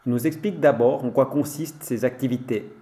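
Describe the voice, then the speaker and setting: Man, reporter, calm measured narration, warm mellow tone. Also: mid-range voice, roomy sound, slight background noise. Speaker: radio reporter. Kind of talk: scripted report narration and interview questions.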